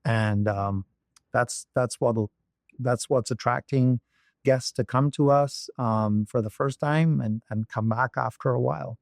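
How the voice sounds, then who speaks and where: A man's voice: mid-range voice, a little slow, vocal fry, dry recording, clean studio sound. A man from Barbados, a company executive, speaking as an interview guest in a thoughtful way with many fillers and restarts. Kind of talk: interview conversation.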